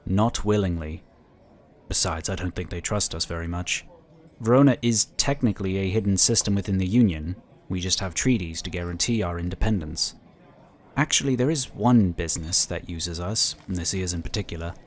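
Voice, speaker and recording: Man acting a low-voiced, affable psionic inquisitor. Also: moderate pace, natural voice. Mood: candid, explanatory. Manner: talkative, easygoing, explanatory. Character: affable, chatty male psionic inquisitor, polite and apologetic. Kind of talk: sci-fi audio drama acting.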